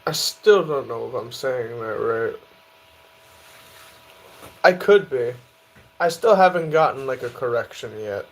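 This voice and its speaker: A man in his early twenties casually chatting on a live stream, reading out and answering viewer questions in a relaxed, easygoing way. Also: medium pitch, unhurried, pauses often, rough recording. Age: 20s. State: tired. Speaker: livestreamer reading chat.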